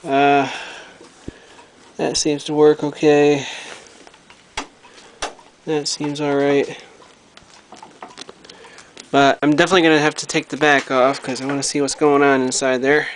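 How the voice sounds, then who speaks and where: Casual man's higher-pitched voice, noisy home recording. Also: level tone. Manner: halting, thinking aloud while handling the object. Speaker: hobbyist vlogger showing a radio repair project. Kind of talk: casual unscripted show-and-tell narration. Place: indoors at home.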